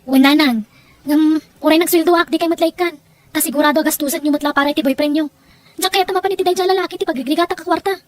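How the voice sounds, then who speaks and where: A woman's voice: sits high, steady tone, quick pace, natural voice. A woman playing a scolding, disapproving young adult daughter in an audio drama, with a nagging, reproachful manner. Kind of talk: Ilocano audio drama dialogue.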